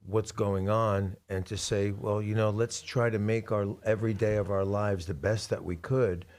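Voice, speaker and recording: Low-voiced man, reflective interview guest. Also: steady tone, moderate pace, a little mellow. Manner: reflective, explanatory. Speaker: guest composer and songwriter. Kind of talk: conversational interview. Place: radio studio.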